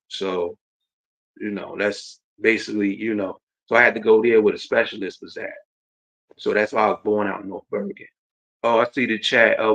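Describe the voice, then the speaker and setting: A man's voice: lowish voice, fairly bright tone. A man of about forty with a New Jersey accent, chatting casually and informally as he hosts a livestream, rambling and repeating himself. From Jersey City, New Jersey. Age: around 40. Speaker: host. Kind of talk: casual autobiographical livestream chat. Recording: dull, lo-fi stream audio.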